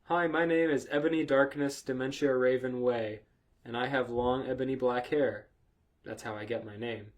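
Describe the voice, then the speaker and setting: A man's voice: mid-range voice, steady tone, normal speed. A man hosting a comedy podcast, reading aloud in a casual, sweary, amused way and breaking off for asides. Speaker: podcast host reading fan fiction aloud.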